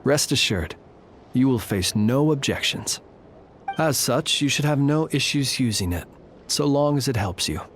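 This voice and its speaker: Formal, composed guard officer. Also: male voice, mid-range voice, expressive, a bit bright, a little hiss or hum. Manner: formal, measured, reassuring. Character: composed, courteous, solemn male lighthouse guard officer. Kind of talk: video game voice acting.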